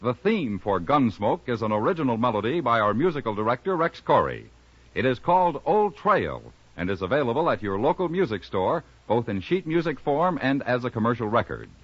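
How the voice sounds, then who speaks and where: Husky 1950s American radio announcer man. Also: medium pitch, sing-song, brisk, no room sound. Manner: formal, emphatic. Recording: dull vintage 1950s radio recording. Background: music.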